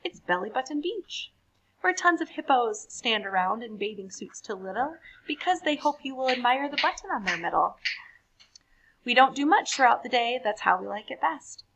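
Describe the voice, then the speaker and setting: A woman's voice: on the high side, expressive, moderate pace, fairly bright tone, rough recording. A woman voicing a tiny, cheerful, affectionate female hippo from a storybook in a bouncy sing-song.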